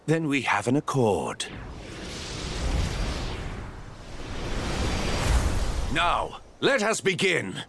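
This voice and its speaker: A man voicing a smug, scheming villain in a video game, with a husky, drawling, mock-polite delivery. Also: medium pitch, wildly swooping pitch, measured pace, over music, full character voice. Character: smug, scheming male villain, theatrical and sly.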